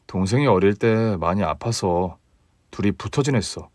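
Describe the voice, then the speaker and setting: A man voicing a meek young husband who speaks softly and apologetically in a lowered, quiet voice. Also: expressive, moderate pace, no room sound, studio quality, lightly acted. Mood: subdued. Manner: soft, subdued, apologetic.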